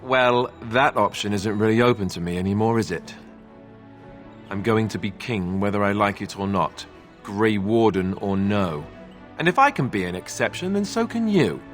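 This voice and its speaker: A man's voice: on the low side, lively intonation, moderate pace, faint music behind, close to an everyday voice. A man voicing a young, self-doubting heir to a throne in a fantasy video game, earnest and wry, with a conversational, hesitant way of talking. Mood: wry, reflective.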